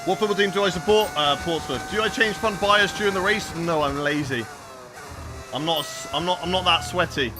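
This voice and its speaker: A man's voice: sits high, fairly quick, over music. A young man casually chatting with viewers while he plays a racing game on a live stream.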